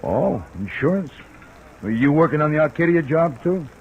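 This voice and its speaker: A man's voice: fairly high pitch, moderate pace, phone-quality sound. An actor playing a hesitant, folksy, down-on-his-luck private detective in an old-time radio drama. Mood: chatty, confiding. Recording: old radio recording, steady hiss.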